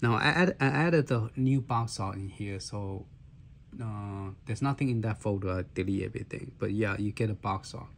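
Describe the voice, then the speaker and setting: Hoarse man demoing a handheld. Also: lowish voice, slight background noise. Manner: halting, many fillers and restarts. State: hoarse, clearing his throat. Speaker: handheld gaming reviewer. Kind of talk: casual walkthrough demo.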